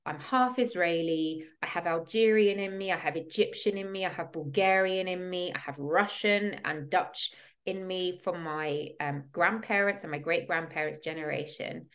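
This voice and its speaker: A woman's voice: lowish voice, moderate pace. A woman in her thirties from the UK, hosting a podcast and telling her personal story in an earnest, reflective way. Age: 30s. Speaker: podcast host, women's coach.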